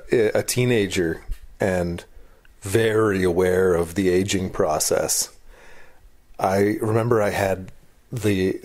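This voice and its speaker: A man's voice: sits low, a little mellow. A man sharing his thoughts on aging in a hesitant, thoughtful way as a community interviewee. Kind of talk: casual reflection.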